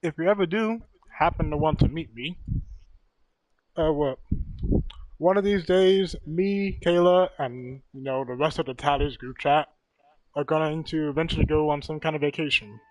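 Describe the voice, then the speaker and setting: A young man in casual gaming voice chat, explaining things in measured phrases. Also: a little high, no echo, rough recording. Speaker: gamer chatting with streamer.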